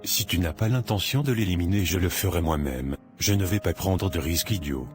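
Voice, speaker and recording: An actor playing a nervous, ruthless young male gang member in an animated drama, talking insistently. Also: low voice, fairly quick, close to an everyday voice. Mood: cold. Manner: insistent, complaining. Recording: music under the voice.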